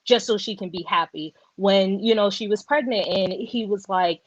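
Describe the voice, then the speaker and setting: A woman hosting a casual book discussion, talking in animated, rambling runs and correcting herself as she goes. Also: medium pitch, moderate pace, no room sound, lo-fi sound. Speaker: podcast host.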